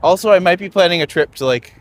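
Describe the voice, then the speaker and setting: A man's voice: sits high, fast talker, slight background noise. A young man hosting a live-streamed walking tour outdoors on a city street, rambling and chatty with lots of fillers, recorded on a phone.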